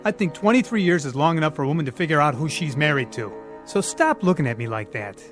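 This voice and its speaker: A testy middle-aged married man in a radio play. His voice is high and very animated, hurried and flustered. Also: soft background music. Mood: flustered annoyance.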